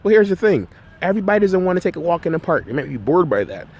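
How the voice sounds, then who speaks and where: A man's voice: high-pitched, sing-song, rapid-fire, a little mellow, a little hiss or hum. Casual man talking outdoors in a park. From Barbados. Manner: conversational, often tags "right?". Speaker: numerology coach. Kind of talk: casual vlog monologue. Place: outdoors in a park by road works.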